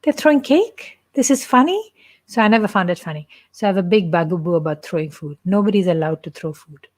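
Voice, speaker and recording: A woman's voice: fairly low pitch, animated, fairly quick. A middle-aged woman from India with an Indian accent, hosting a playful, chatty live stream for her church and joking with viewers. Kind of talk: casual live-stream chat.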